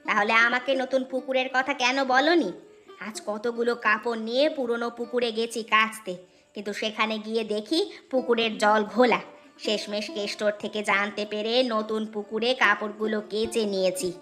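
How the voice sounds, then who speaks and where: Woman voicing a high, bright village housewife. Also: level tone, normal speed, faint music behind, lightly acted. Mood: reproachful. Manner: teasing, chiding, colloquial. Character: gossipy, sharp-tongued village housewife, curious and scheming. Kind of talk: cartoon folk-tale voice acting.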